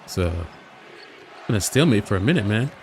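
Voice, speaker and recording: A man's voice: on the low side, expressive, a little fast, a little mellow, some chatter behind, a little hiss or hum. A man hosting a livestream, chatting informally and slangily while calling play-by-play. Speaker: livestream host doing amateur play-by-play. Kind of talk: casual livestream chat and game commentary.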